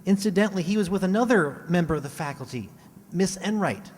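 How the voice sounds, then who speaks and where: A man's voice: high-pitched, animated, a little fast, a little mellow, long reverb tail, a little hiss or hum. A man playing an eager, talkative teenage schoolboy in a radio comedy, earnest and gushing. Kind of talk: 1940s radio comedy acting.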